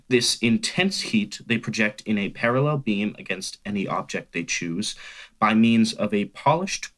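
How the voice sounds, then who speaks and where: A man playing a learned scientist, formal and deliberate, explaining things in a radio play. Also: lowish voice, fairly bright tone. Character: measured male scientist, a learned professor. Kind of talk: radio play expert statement.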